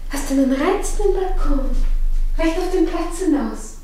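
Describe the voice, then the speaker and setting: A woman's voice: sits high, normal speed, darkish tone, lightly acted. An actress playing a gushing, gossipy society lady in a 1950s German radio drama, with an affected, theatrical manner. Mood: gushing delight. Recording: old radio recording, roomy, faint noise.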